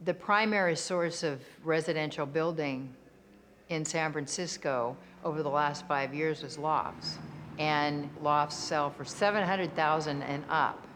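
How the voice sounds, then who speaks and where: A woman artist speaking thoughtfully, and a little haltingly, in a documentary interview. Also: low-pitched, a bit bright, a little hiss or hum. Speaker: artist and resident.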